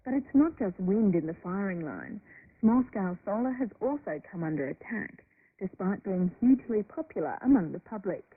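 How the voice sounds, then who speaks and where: A woman's voice: medium pitch, husky. A woman reading a scripted news report as a radio reporter. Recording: noisy narrowband shortwave radio broadcast.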